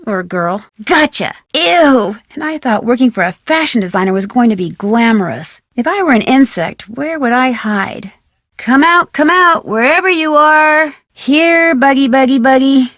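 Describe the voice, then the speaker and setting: Young female sleuth, American accent, high voice, curious, wholesome, neatly enunciated, video game dialogue, dry studio sound. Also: animated, a little slow, fairly bright tone, no echo, heavily acted. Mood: determined, playful. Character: curious, plucky, polite young female amateur detective. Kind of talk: scripted adventure game dialogue.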